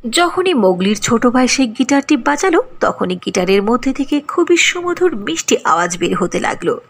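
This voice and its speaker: A woman tells a cartoon fairy tale in a lively, sing-song way. Her voice sits a little high and has a gravelly, husky grain. Her pitch swoops up and down as she narrates. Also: normal speed.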